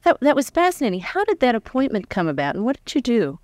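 A woman's voice: on the high side, big pitch swings, quick pace, darkish tone, no room sound, studio quality. A middle-aged American woman conducting a conversational interview, asking her guest questions. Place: indoor stage before a live audience. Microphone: large stand microphone close in front.

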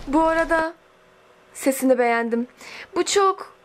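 A woman voicing a haughty, spoiled teenage high school diva in an amateur film dub, bossy and affected. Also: animated, a little slow, noisy background. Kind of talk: amateur fan dub acting.

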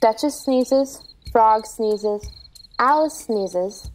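A woman's voice: fairly high pitch, very slow, darkish tone, slight background noise, rough recording. A woman narrating stage directions from a script for a storybook play.

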